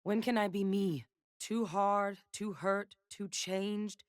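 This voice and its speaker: A woman's voice: medium pitch, steady tone, takes it slowly, no room sound, lightly acted. A woman playing a battle-hardened, wry and defiant young gunslinger in a video game, speaking in a dry, clipped, commanding way. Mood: solemn. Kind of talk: video game character voice lines.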